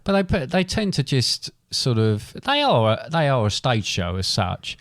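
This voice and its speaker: A man's voice: medium pitch, big pitch swings, moderate pace, a bit bright, no room sound, studio quality. A middle-aged British man co-hosting a podcast in casual, bantering chat. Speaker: podcast co-host.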